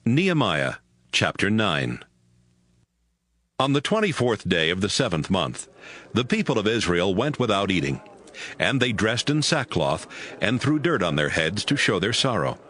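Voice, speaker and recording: A man's voice: lowish voice, big pitch swings, normal speed, fairly bright tone. A man reading scripted audio Bible narration as its narrator.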